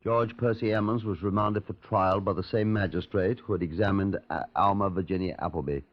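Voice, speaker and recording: An actor as a sober narrator of police cases, speaking in a measured, grave and formal 1950s radio style. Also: male voice, low-pitched, steady tone. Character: sober male narrator of police case files. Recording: muffled old radio broadcast recording.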